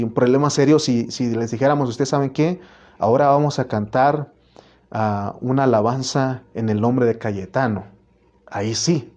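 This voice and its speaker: A man's voice: medium pitch, a little mellow, highs cut off. A man with a Mexican Spanish accent preaching a sermon as pastor, earnest and repetitive, often restarting his words.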